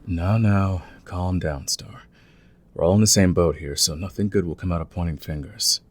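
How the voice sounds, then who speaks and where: A man playing a calm, friendly veteran thief in an ASMR audio roleplay, speaking in a patient, soothing, conversational way. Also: low-pitched, normal speed. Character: calm, friendly veteran male thief smoothing over team tensions.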